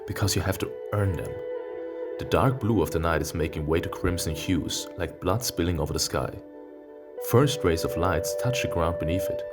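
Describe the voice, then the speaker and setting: Casting: deep-voiced male let's player, non-native English speaker, reads visual novel text aloud with sarcastic, sweary commentary over clear background music. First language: not English. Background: game music.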